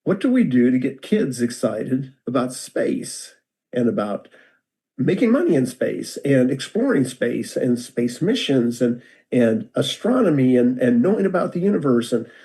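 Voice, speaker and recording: An older American man tells stories in a relaxed, meandering way, often stopping and restarting his sentences. His voice is mellow and dark, and he is heard over a video call. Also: mid-range voice. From United States. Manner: relaxed, rambling, self-deprecating with many restarts. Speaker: guest speaker.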